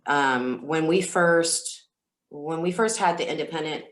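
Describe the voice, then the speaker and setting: A woman who is a singer telling stories as an interview guest, speaking casually and candidly in a halting, reflective way. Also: low voice. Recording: roomy, echoey sound.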